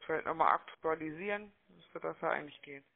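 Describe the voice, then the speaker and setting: High-pitched man chatting on the phone. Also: fast talker, gravelly. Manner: chummy, wordy, often tags sentences with questioning "ne?" or "oder?". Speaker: phone sales agent from a call centre. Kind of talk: chatty, unscripted sales phone call. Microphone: telephone line. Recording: muffled, narrow-band phone-call audio.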